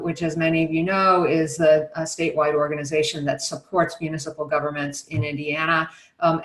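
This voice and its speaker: A woman's voice: deep voice, roomy sound, rough recording. An older woman moderating a webinar over a video call, hesitant and full of ums and uhs. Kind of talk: webinar introduction.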